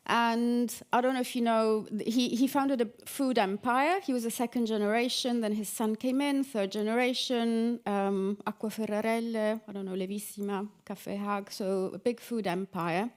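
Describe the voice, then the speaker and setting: A middle-aged woman who grew up in Italy and the UK, a professor giving a conversational conference talk with frequent fillers and restarts. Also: on the high side. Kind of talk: academic conference presentation.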